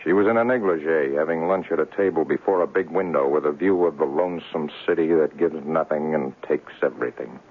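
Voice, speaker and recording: An actor playing a tough, cocky private investigator in a 1970s radio drama, talking in clipped slang. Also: male voice, extremely low pitch, fairly quick, hardly any pauses. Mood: hard-boiled, narrating. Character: tough hard-boiled male private investigator, cynical and cocky. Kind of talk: 1970s radio drama acting, noir narration. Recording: muffled old radio broadcast.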